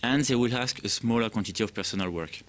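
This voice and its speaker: A man with a French accent giving interview answers as a program director in English that is not his first language, halting as he searches for words. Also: medium pitch, fairly bright tone.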